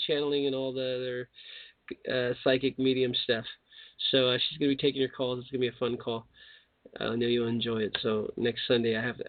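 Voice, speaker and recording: A man's voice: medium pitch, no echo. A man hosting a call-in radio show, talking casually and rambling with joking asides. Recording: tinny phone line.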